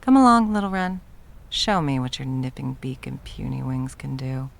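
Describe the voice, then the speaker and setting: Woman playing an arrogant, low-voiced, gravelly sorceress tyrant. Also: moderate pace, heavy background noise. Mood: amused taunting. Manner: taunting, theatrical, condescending. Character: arrogant undying sorceress tyrant, mocking, easily bored, playful. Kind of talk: ASMR roleplay audio drama.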